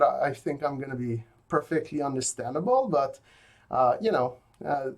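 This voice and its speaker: A man's voice: medium pitch, darkish tone. A man from rural Quebec with a Québécois French accent, a native French speaker giving a pre-recorded university logic lecture from his office, with many fillers and restarts.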